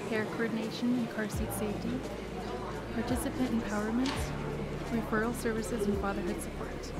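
A woman reading a scripted advertisement as a commercial announcer. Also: on the high side. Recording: loud music and crowd noise behind. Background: loud music, crowd noise.

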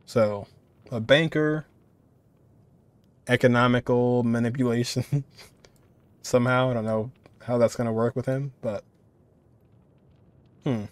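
A man's voice: medium pitch, steady tone, slow pace, long pauses, clean tone, no room sound. A man in his twenties giving informal game reaction commentary, musing and thinking aloud. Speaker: reaction video host.

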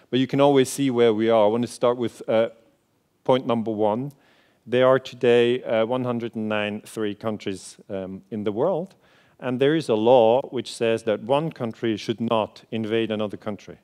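A man in his forties with a Swiss German accent delivers a conference keynote on a theater stage, speaking in an earnest, conversational way with frequent filler sounds. His voice sits somewhat low, and he explains points plainly to the audience as a lecturer. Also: a little mellow. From Switzerland. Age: 40s.

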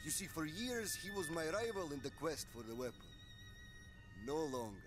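An actor playing a smug, scheming villain in a war game, with formal, clipped phrasing. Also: male voice, on the high side, big pitch swings, moderate pace, over music.

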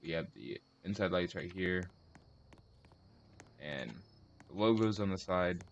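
A man giving casual video commentary as host of a car tour, speaking in halting short phrases and correcting himself. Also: deep voice, expressive, creaky, lo-fi sound, slight background noise.

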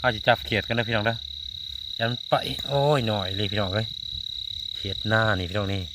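Low-voiced Isan man chatting outdoors. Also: fairly even intonation, a little fast. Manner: folksy, repeatedly addresses viewers. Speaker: vlogger hunting frogs at night. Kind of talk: casual vlog chat to viewers. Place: outdoors at night in the countryside. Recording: outdoor recording with faint insect noise.

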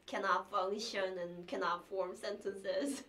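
Slow-talking Korean woman with a flu. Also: medium pitch, fairly even intonation, a bit bright, slight background noise. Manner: halting, many restarts and fillers, laughing often. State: has the flu. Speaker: cellist streamer. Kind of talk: casual livestream chat reading viewer messages. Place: small practice room indoors.

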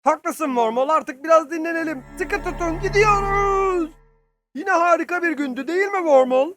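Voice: extremely high pitch, normal speed, loud music behind the voice. An actor voicing a small, eager, friendly worm creature in a children's cartoon, with an exclamatory, childlike delivery. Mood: cheerful.

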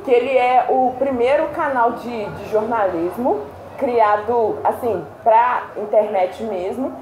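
High-voiced laughing woman. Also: takes it slowly, slight background noise. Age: young adult. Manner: animated, laughs often while talking. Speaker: co-host. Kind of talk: casual chat. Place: indoor room against a plain wall. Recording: roomy echo.

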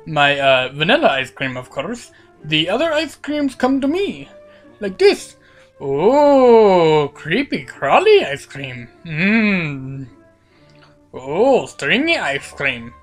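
A woman's voice: deep voice, very animated, slow, soft background music. A woman with a cold, her voice cracking, giving playful, rambling commentary over a video game with lots of fillers. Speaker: gaming channel host.

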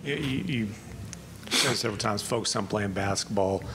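A man's voice: fairly low pitch, moderate pace, noisy background. A male reporter asking conversational questions at a press conference.

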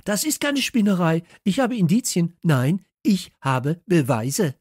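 A man's voice: mid-range voice, animated, fairly quick, mellow, breathy edge, no room sound, studio quality, pitched above the performer's own voice. A man voicing an agitated, emphatic boy in a children's audiobook. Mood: agitated, insistent. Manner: agitated, emphatic, screechy when challenged. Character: excitable boy insisting on a burglary.

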